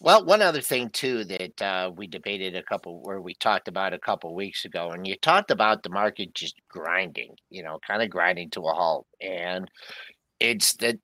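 A man hosting a markets show, reading off prices in a brisk, chatty way. His voice is bright and swings widely in pitch, and it sounds dry and close to the mic. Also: dry recording, poor audio. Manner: brisk, lively delivery of price quotes. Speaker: show host. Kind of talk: market news rundown and co-host chat.

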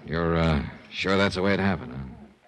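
A man's voice: deep voice, moderate pace, natural voice. An actor playing a hard-boiled insurance investigator who narrates his own case in a 1950s radio drama, dry and wry. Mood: probing. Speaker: lead and narrator. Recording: old radio broadcast with music beneath.

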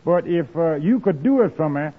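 An actor playing a polite, wheedling friend with folksy, hesitant, roundabout talk in 1940s radio comedy style. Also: male voice, on the high side, lively intonation, moderate pace, slightly rough. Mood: explaining. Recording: tinny old radio broadcast.

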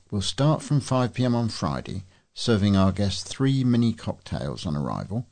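A man's voice: fairly low pitch, a little mellow, breathy edge. A man reading scripted local news as a volunteer newsreader.

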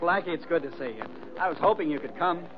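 A man's voice: a little high, expressive, fast, background noise, natural voice. A man acting a wealthy, self-mocking party host in 1940s radio drama style. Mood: genial, droll. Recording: dull old radio recording, party crowd.

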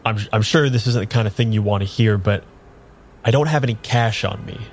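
A man playing a bewildered, weary amnesiac in an improvised noir radio drama, speaking in a measured, halting way. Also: on the low side, slight background noise, close to an everyday voice. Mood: hesitant, searching. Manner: measured, dramatic pauses when narrating, hesitant in dialogue.

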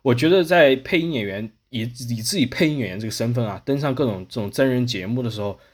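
A man's voice: medium pitch, expressive, quick pace, husky. A man hosting a casual podcast discussion, explaining his points through examples. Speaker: podcast host.

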